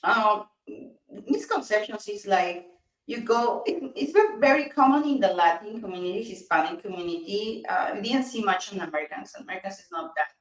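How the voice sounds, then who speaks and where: A woman's voice: mid-range voice, expressive, moderate pace, recorded from a distance. A Peruvian woman in her thirties speaks earnestly with a Spanish accent, restarting her phrases as she goes. She sits in a small office on a video call. Her voice sounds roomy and set back from the mic. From Peru. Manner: earnest, many restarts and fillers. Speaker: dentist, guest. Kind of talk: casual interview chat. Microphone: video call webcam.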